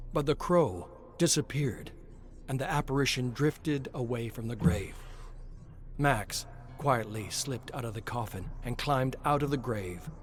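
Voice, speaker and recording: A man reading scripted, dramatic horror narration. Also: medium pitch. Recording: music under the voice. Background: music.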